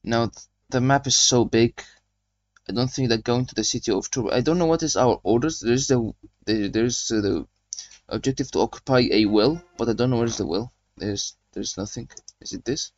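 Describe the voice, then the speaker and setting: A man's voice: mid-range voice, moderate pace, muffled recording. A young man hosting a gaming channel, chatting casually as he plays and reads mission briefings aloud. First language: not German. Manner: chatty, rambling, reading game briefings aloud. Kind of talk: casual game commentary.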